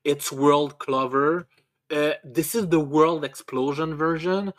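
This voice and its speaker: A man's voice: a little high, steady tone, slow pace, a bit bright. A man in casual, profane podcast chat about video games, often stammering and repeating his words as he talks. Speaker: podcast co-host.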